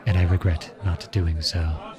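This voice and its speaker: A man playing an earnest, lovestruck outlawed prince turned soldier in an audio roleplay drama, speaking softly and with measured pauses. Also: deep voice, little pitch movement, crowd noise. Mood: tender, wistful.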